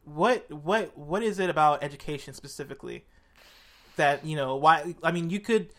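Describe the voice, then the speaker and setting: Playful low-voiced woman podcast host. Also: moderate pace, a bit bright. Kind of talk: casual chat interview.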